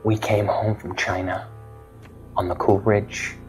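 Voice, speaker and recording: A man's voice: on the low side, little pitch movement, slow, faint music behind. An actor playing a troubled, guilty young ex-serviceman in a video game, earnest and deferential, with an American accent. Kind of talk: video game dramatic acting.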